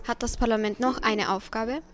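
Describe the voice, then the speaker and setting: Teen girl, school podcast co-host, fairly high voice, scripted read. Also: steady tone, moderate pace. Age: teens. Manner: reads script lines. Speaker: student podcast presenter.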